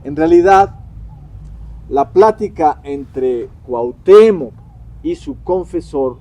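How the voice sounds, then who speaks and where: A man reads out a critical commentary in a very slow, deliberate way. His voice sits a little high for a man. He breaks sentences into short phrases and leans emphatically on key words, like a lecturer making a case. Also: animated, dark tone. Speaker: historian-critic presenting a critique.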